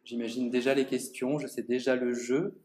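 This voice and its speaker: A man reading his own first-person monologue aloud at a public reading in an art gallery, speaking to the audience in a measured, theatrical way. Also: medium pitch, level tone, a little mellow. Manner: measured, theatrical delivery addressing the audience directly. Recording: echoey gallery room.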